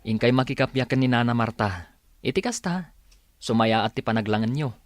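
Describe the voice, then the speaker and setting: An earnest young son in love, acted by a man in a radio comedy drama. He pleads in a medium-pitched voice, with music clearly playing behind him. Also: expressive, fairly quick, lightly acted. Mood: coaxing. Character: lovestruck young adult son, earnest peacemaker.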